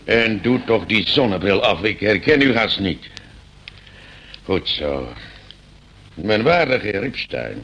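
An actor playing a stern, condescending landlord in a radio play, lecturing with pompous mock courtesy. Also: male voice, on the low side, very animated, takes it slowly, pauses often, a bit bright, breathy edge, lo-fi sound, full character voice. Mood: stern, indignant. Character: stern, condescending landlord scolding his eccentric tenant.

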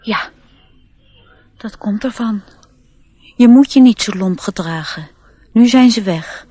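A woman acting a proud, youthful male nature sprite, self-assured and gently instructive, in a radio play. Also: mid-range voice, lively intonation, takes it slowly, no echo. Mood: scolding.

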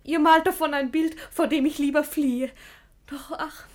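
An actress playing a naive young ward in a classic radio play, speaking verse with artless, plaintive frankness. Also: female voice, sits high, fairly even intonation, normal speed, natural voice. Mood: innocently frank. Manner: innocent, plaintive, candid.

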